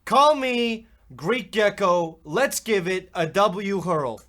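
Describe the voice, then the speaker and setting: A man playing an earnest, excitable Depression-era boy in a comedy radio play, with halting, folksy old-time exclamations. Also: high voice, exaggerated voice. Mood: excited, alarmed.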